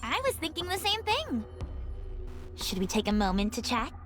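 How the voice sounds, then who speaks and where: A woman's voice: high voice, big pitch swings, moderate pace, over music. A woman voicing an inquisitive, scholarly young female analyst in a video game, musing and precise. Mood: curious, musing.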